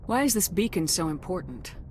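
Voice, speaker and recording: Firm, determined military commander. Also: female voice, fairly low pitch, very animated, a little fast, noisy background. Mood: firm, resolute. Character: steady, determined adult female military commander. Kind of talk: cinematic sci-fi game dialogue.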